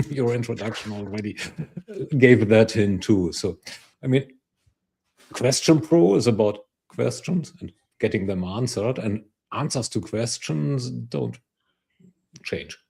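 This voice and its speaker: Slow, husky, gravelly male co-host. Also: lowish voice, dark tone. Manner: slow and musing, chuckles between phrases. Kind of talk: casual video-call interview.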